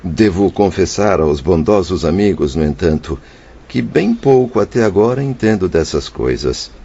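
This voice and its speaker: A man's voice: low voice, expressive, moderate pace, vocal fry, warm tone, husky, full character voice. An actor playing a humble, troubled father spirit who recounts his past in a radio drama, earnest, formal and emotional. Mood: humble, grateful. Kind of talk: Brazilian radio drama narration and dialogue. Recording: dull, faintly noisy radio drama track.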